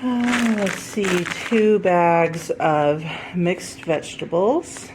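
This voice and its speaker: Slow-talking woman with a stuffy head cold. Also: on the low side, lively intonation, background noise. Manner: chatty, with many ums and self-corrections. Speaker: homesteading vlogger. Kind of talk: casual grocery haul vlog.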